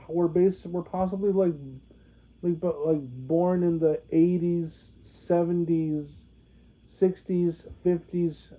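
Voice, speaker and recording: Halting young man, tinny phone-like sound. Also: sits high. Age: 20s. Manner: halting, many restarts and fillers. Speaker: vlogger. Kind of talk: rambling monologue to viewers.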